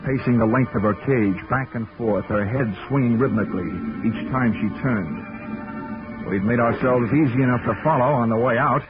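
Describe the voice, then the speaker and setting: A thin, tinny 1940s radio recording with music carries a male actor as a hard-boiled detective. He is wry and sardonic, with a medium-pitched voice, delivering his lines like a wisecracking gumshoe. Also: lightly acted. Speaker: narrating lead of a detective radio serial.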